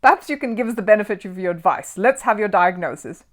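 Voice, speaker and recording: A woman's voice: mid-range voice, animated, fast, lightly acted. A woman voicing an imposing, testy male senior doctor in a children's story, barking and spluttering with pompous sarcasm. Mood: sarcastic, barking.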